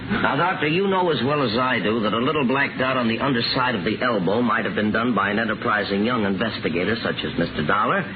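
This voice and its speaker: An actor in a 1950s radio drama playing a relentless prosecuting attorney with formal, sardonic questioning. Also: male voice, mid-range voice, fast talker, over a noisy crowd, close to an everyday voice. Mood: pointed, skeptical. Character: stern male prosecuting attorney, pointed, relentless. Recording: noisy, narrow-band 1950 radio recording.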